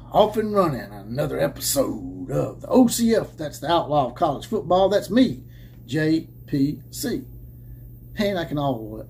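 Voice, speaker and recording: A man's voice: on the high side, darkish tone. Sarcastic, combative man ranting to camera. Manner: combative, sarcastic, laughs mockingly, swears. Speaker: host of a college football commentary channel. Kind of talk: opinionated rant to camera. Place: indoor room with caps hung on the wall. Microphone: camera at desk framing head and shoulders.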